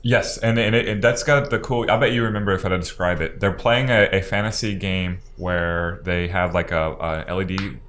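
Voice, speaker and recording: Deep-voiced man, halting casual talk, noisy room. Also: steady tone, a bit bright. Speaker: podcast co-host. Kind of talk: casual podcast chat.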